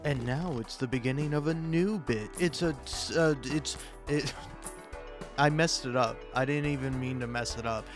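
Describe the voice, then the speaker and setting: A man doing casual, comedic, rambling gaming commentary, halting and stammering, self-deprecating and freely profane. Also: mid-range voice, over music. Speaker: video creator and host.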